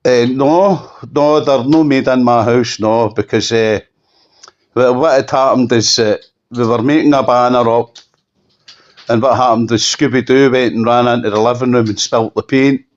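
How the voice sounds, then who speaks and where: A Scottish man giving an unscripted telephone interview, halting and often repeating himself for emphasis. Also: mid-range voice, fairly even intonation. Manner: halting, repetitive, emphatic restatements. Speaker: campaigner being interviewed. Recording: dull lo-fi phone call.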